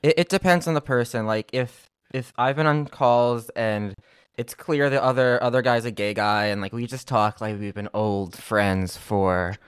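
A man hosting a casual podcast interview, playful, with quick bursts of energy. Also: mid-range voice, clear, resonant tone, no room sound, studio quality. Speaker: podcast host.

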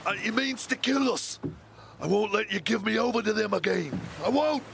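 A man's voice: high voice, animated, normal speed, creaky, fairly bright tone, a little hiss or hum, exaggerated voice. An actor playing a haunted, wounded sailor losing his mind in a seafaring audio drama. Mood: panicked, raving.

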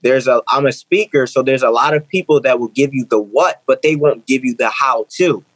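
A man's voice: medium pitch, normal speed, a bit bright, dry recording, poor audio. A man in his twenties, a guest author and speaker, talking earnestly in an interview and often repeating phrases as he builds a point. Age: 20s. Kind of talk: interview conversation.

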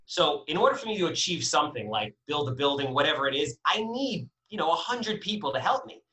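A man's voice: on the high side, big pitch swings, brisk, pushed and bright, low-quality recording. A man of forty, a guest in a casual interview, telling stories candidly and energetically with frequent fillers and the odd swear word. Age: 40s.

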